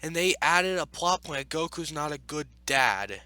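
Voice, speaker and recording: Man, casual reading commentary, exasperated and argumentative, very lively intonation, close dry sound. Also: on the high side, normal speed, smooth, dry recording. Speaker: YouTube commentator.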